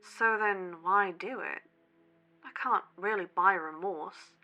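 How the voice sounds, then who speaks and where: Casting: college-age podcast skeptic for horror audio drama, crisp bright tone, sardonic, light music behind. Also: female voice, medium pitch, slow, highs cut off. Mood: skeptical, curious. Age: young adult. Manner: dry, sardonic, questioning. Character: skeptical, sarcastic young female college podcast partner.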